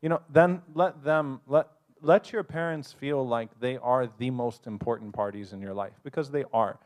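Earnest man giving advice on a panel. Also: mid-range voice. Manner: earnest, advising, brisk. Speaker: panel speaker. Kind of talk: live advice talk to an audience. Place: convention hall.

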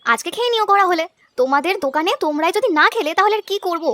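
A woman playing a practical, caring young village wife in a cartoon, chatting in a brisk, homely way. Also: extremely high pitch, expressive, sharp tone, dry recording, full character voice. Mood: busy, friendly. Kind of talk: cartoon voice acting.

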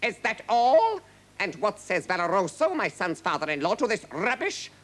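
A fierce, high-pitched storybook tyrant king. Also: big pitch swings, normal speed, pushed and bright, heavily acted. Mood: indignant. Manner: imperious, exclamatory, archaic wording. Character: fierce, vengeful, pompous tyrant king, roaring orders. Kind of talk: storybook character acting.

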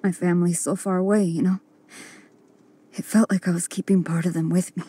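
An actress playing a loyal, vengeful young woman with a breathy, husky voice in a dramatic video game. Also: lowish voice, flat delivery, brisk, dark tone. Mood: grieving.

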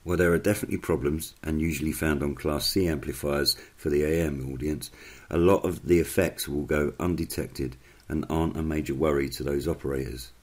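A man reading a scripted explainer narration in a measured, instructional way. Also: rumbling low, steady tone.

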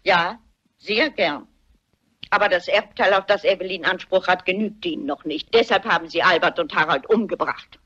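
A woman's voice: lowish voice, normal speed, a bit bright, breathy edge. An actress playing a shrewd, composed elderly lady detective in a radio drama, speaking politely and precisely. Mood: calmly accusing. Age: older. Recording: dull lo-fi audio, music behind.

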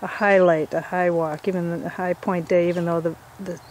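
Woman hiker narrating beside a noisy highway. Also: fairly even intonation, normal speed. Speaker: long-distance hiker filming a trip video. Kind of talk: casual video diary narration. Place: outdoors beside a busy highway. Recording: outdoor camera audio with loud traffic noise.

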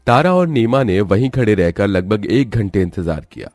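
Low-pitched man narrating a moral story. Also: lively intonation, moderate pace, a little mellow, no room sound, studio quality.

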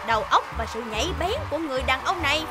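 A woman's voice: high voice, lively intonation, very fast, over loud music. A woman playing an upbeat gossip-news narrator, reading scripted cartoon narration.

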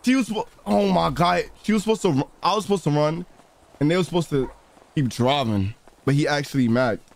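A man's voice: fairly high pitch, brisk, vocal fry. A man live streaming a roleplay game, talking in profane street slang and repeating his phrases. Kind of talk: excited in-character gaming chatter in street slang.

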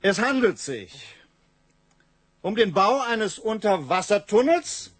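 Radio play casting: resolute young inventor, high male register, measured pitch to an audience, dry studio sound. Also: big pitch swings, dry recording, heavily acted. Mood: earnest, resolute. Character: earnest, determined young engineer presenting a bold plan. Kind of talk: German radio drama acting, 1980s.

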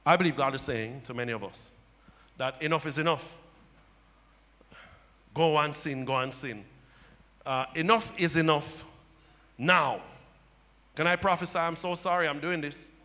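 A middle-aged male pastor preaching a sermon on a church stage into a handheld mic, building to loud impassioned cries. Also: fairly high pitch, a little slow, pauses often. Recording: long hall reverb.

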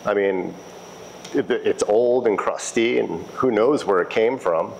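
A man speaking as a restoration technician in a casual interview, explaining in a halting, conversational way and repeating phrases. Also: fairly low pitch, rough recording. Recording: clear steady background noise.

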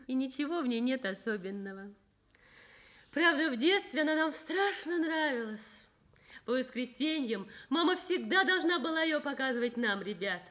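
An actress playing a warm, earnest young nurse in a Soviet radio drama, speaking with tender insistence. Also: female voice, high-pitched, animated, moderate pace. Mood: fond, amused.

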